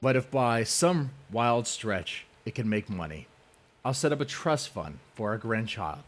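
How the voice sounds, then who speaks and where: A man giving a dramatic reading in a theatrical, scornful manner, leaning on words with deliberate, drawn-out emphasis. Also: medium pitch, animated. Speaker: reader of an online post.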